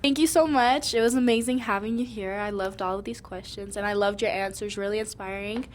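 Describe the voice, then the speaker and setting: A woman's voice: medium pitch, steady tone, brisk. Young woman from Venezuela, noisy room. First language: Spanish. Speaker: youth interviewer. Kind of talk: casual interview.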